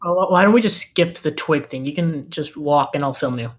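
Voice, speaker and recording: Man playing an exasperated, deadpan amateur cameraman. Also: a little high, a little fast, natural voice. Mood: exasperated, patient. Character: exasperated male amateur cameraman, Bigfoot's practical friend. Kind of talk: comedic screenplay table read.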